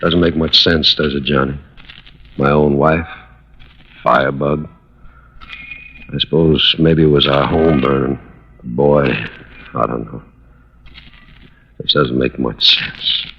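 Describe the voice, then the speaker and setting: An actor in a 1950s radio drama playing a weary, heartbroken husband who speaks in a halting, resigned, wistful way. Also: male voice, extremely low pitch, steady tone, vocal fry, heavily acted. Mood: grieving, dazed. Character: weary, heartbroken husband confessing to covering for his firebug wife. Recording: dull, noisy old radio recording.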